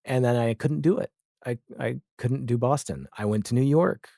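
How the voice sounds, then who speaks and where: A man talking casually as a podcast guest, animated and rambling, with a self-deprecating streak. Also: mid-range voice, moderate pace, creaky, no room sound, studio quality. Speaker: podcast guest, audio drama creator. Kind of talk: casual podcast interview chat.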